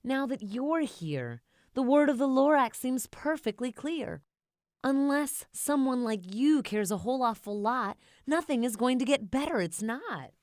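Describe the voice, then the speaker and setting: Storybook industrialist, old man voiced by a woman: stubborn, grasping, high-pitched, emphatic rhymed lines. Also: expressive, a little slow, a little mellow, dry recording, studio quality. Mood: earnest, urgent. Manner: emphatic, repetitive rhyming speech.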